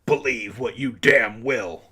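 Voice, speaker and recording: A man's voice: mid-range voice, slow, pushed and bright, slightly rough, pitched below the performer's own voice, exaggerated voice. A man voicing a furious, proud male politician in a story reading, menacing and blustering.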